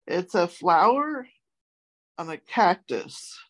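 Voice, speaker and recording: A woman's voice: lowish voice, expressive, slow pace. A woman casually and a little hesitantly presenting a word game on a podcast. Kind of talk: casual chat.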